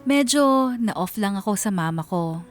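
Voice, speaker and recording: A woman's voice: mid-range voice, animated, unhurried, faint music behind, natural voice. A woman playing an aggrieved married schoolteacher, narrating her family troubles as a confession in a radio drama. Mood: aggrieved, reflective.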